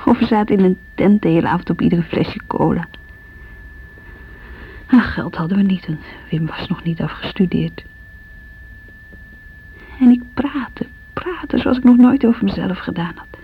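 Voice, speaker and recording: A woman's voice: mid-range voice, moderate pace, pauses often, muffled recording, slight background noise, lightly acted. An actress playing an insecure, wounded woman in an intimate, reflective radio drama monologue. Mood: tender, sad.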